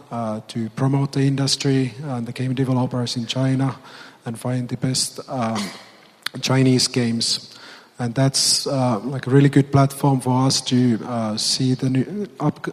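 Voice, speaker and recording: A man who has nearly lost his voice, hoarse, speaking as a panelist at a conference with many fillers and pauses. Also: medium pitch. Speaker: panelist, game publisher CEO. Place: conference hall. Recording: long reverb of a conference hall.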